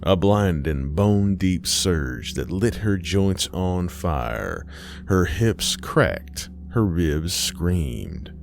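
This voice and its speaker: Male horror narrator: low, gravelly register, unhurried and suspenseful dramatic delivery with deliberate pauses. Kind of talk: scripted dramatic audiobook narration of Southern Gothic horror.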